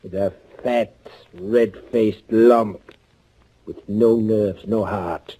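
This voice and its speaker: An actor playing a bitter young underground avenger in a 1940s radio thriller, sardonic and deliberate, with a husky voice. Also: male voice, lowish voice, steady tone, mellow. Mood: bitter, intense. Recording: muffled 1940s radio recording.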